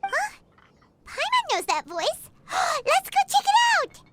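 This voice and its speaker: Very high squeaky little fairy sidekick, cheeky, excitable, animated swings, video game, music behind. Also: female voice, takes it slowly, very noisy, heavily acted. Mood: surprised. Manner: perky, exclaiming, refers to self in third person. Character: tiny childlike floating fairy companion, excitable and cheeky. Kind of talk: video game cutscene acting.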